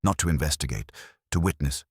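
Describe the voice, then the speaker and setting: A man voicing a grave, reflective English police detective in an audio mystery story, deliberate and understated. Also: extremely low pitch, darkish tone, slightly rough, no echo, studio quality.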